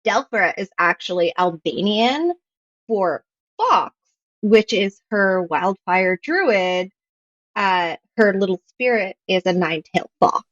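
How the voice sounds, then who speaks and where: A woman of middling pitch speaks frankly and chattily in a relaxed interview. The recording is dry and a bit dull. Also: takes it slowly, no room sound. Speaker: guest player. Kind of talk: casual interview answers.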